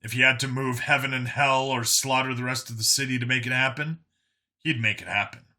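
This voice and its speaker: A male audiobook narrator reading scripted fiction. His voice sits at an average pitch and has a strikingly sharp, forceful brightness. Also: steady tone, fairly quick.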